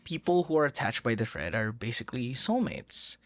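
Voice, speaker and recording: A man playing a friendly, flustered young romantic in an intimate boyfriend audio roleplay, talking in a hesitant, rambling, conversational way with soft breathy asides. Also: mid-range voice, sing-song, brisk, lightly acted. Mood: earnest, romantic.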